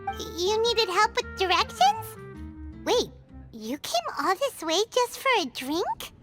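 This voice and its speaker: A woman voicing a small, chirpy childlike fairy companion in a video game, chatty and exclamatory with a squeaky voice. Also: huge pitch swings, slow pace, heavily acted. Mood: surprised, chatty. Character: small excitable chirpy female fairy companion, childlike, speaks in third person. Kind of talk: video game cutscene acting. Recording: music behind the voice.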